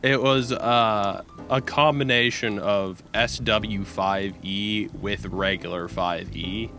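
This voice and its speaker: A man with an American accent and a fairly low voice chats as a guest game designer. He speaks casually and haltingly, feeling for his words, while music plays clearly behind him. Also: fairly even intonation, pushed and bright. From California, United States. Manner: halting, self-deprecating, searching for words. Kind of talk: casual, unscripted interview.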